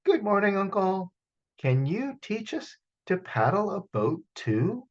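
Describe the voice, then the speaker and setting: Man doing an eager little boy, high pitch. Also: big pitch swings, takes it slowly, highs cut off, exaggerated voice. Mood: polite, hopeful. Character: eager, polite young boy.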